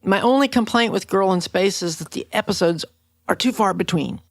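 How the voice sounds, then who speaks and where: A woman's voice: medium pitch, normal speed, no echo, clean studio sound. An older woman interviewing casually as a podcast host, plainspoken and ready with her opinions.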